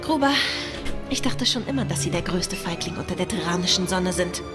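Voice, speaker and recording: A woman's voice: lowish voice, animated, brisk, loud music behind the voice, lightly acted. Bold, cutting female ship's officer played by an actress, sci-fi audio drama, under score. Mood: scornful. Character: bold, scornful female spaceship crew officer.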